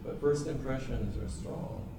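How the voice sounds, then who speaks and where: A man from Georgia speaking as a panelist writer, unhurried and musing, with frequent ums and uhs. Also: mid-range voice. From Georgia, USA. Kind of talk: reflective panel talk. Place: event room in a library. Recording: echoey room with background noise.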